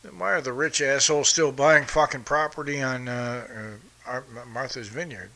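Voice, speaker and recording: A man's voice: mid-range voice, fairly even intonation, normal speed, pushed and bright. A man hosting a podcast, from the United States, ranting bluntly and profanely about politics. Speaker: podcast host. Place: home in Brazil.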